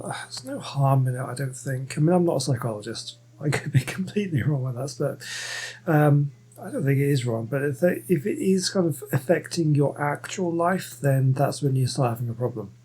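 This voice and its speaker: Casting: female let's-play commentator, very low register, mellow tone, casual and hesitant. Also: animated, moderate pace, slightly rough. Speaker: gamer playing and commenting. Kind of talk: casual game commentary, reading dialogue choices aloud.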